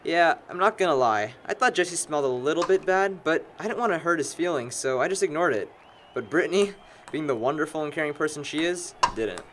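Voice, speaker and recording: A man's voice: fairly high pitch, animated, brisk, a bit bright, a little hiss or hum. A man playing a sarcastic, needling young man among longtime friends in an amateur audio drama. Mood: reminiscing.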